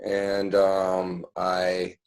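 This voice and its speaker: A man in his thirties with a very low, deep voice answers interview questions. He talks casually and pauses to think, with plenty of fillers and restarts along the way. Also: completely flat delivery. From born in London, raised in Paris and New York. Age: 30s. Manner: hesitant and thoughtful, many fillers and restarts. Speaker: guest, music producer and DJ.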